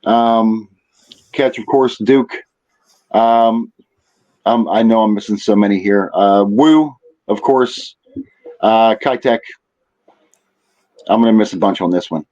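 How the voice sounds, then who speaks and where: A man speaking as a podcast guest in casual chat, haltingly, often repeating phrases as he thinks. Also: on the low side, a little mellow, lo-fi sound. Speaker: podcast guest, fishing tournament organizer.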